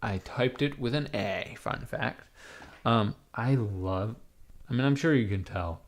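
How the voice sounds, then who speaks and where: A raspy-voiced man livestreaming from his computer, rambling casually to his chat, swearing and talking to himself as he types. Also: medium pitch, measured pace. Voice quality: raspy, gravelly. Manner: rambling, swearing, talks to chat while typing.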